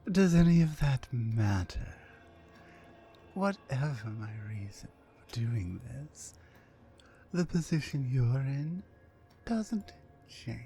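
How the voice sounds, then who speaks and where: A woman playing a towering, mean, dominant orc captor in an ASMR roleplay, commanding and deliberate. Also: rumbling low, sing-song, mellow, full character voice. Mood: scornful, threatening. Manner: menacing, commanding, slow and deliberate.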